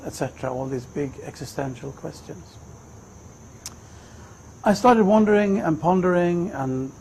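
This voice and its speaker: A man in his fifties from Sweden, telling his personal story in a reflective, measured way. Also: fairly high pitch, creaky, a little mellow, slight background noise, poor audio. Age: 50s. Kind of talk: personal testimony, unhurried storytelling.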